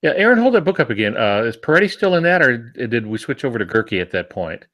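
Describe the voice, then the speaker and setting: A man, an author on a podcast panel, chatting casually about books in a halting, rambling way with frequent pauses. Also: medium pitch, very animated, a bit bright.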